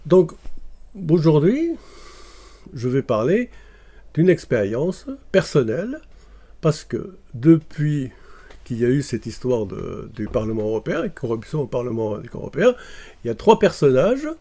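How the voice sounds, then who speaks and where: A man's voice: medium pitch, very animated, unhurried, long pauses, mellow. A man from Morocco, talking casually to camera and hesitating often with fillers and restarts. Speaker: video commentator.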